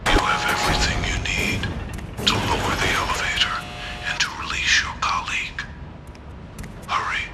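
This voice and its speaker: An actor playing a sinister serial killer in a video game, taunting captives in a hushed, gravelly, deliberate and menacing voice. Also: male voice, soft background music. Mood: calm menace. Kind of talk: video game villain recorded message.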